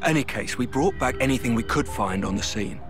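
An actor playing a matter-of-fact scout in a video game, reporting in a factual, businesslike manner. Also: male voice, medium pitch, lively intonation, a little fast, music in the background, natural voice. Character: matter-of-fact male search party scout reporting back. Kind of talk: video game cutscene acting.

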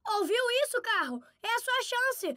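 Upbeat, very high-voiced little boy. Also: very animated, normal speed, bright, forceful tone, slight background noise, exaggerated voice. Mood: encouraging. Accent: Brazilian. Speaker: cartoon character. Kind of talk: children's cartoon dub.

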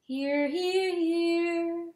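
A woman's voice: high voice, takes it slowly, darkish tone, pitched above the performer's own voice. A woman voicing a grieving, raging hen wife in a dramatic audiobook, pleading and declaiming with passion. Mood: lonely, sing-song. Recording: roomy, echoing sound.

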